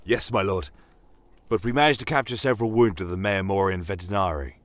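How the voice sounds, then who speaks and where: A man acts out an obedient soldier in a fantasy war story. The voice is raised, brisk and dutiful, addressing a superior. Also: lowish voice, no echo, pitched above the performer's own voice, close to an everyday voice. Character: obedient male soldier reporting to his fantasy lord.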